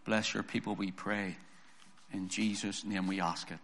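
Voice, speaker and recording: A hoarse man preaching a sermon, reading scripture slowly and building to emphatic preaching. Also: lowish voice, fairly even intonation, a bit bright. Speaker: pastor.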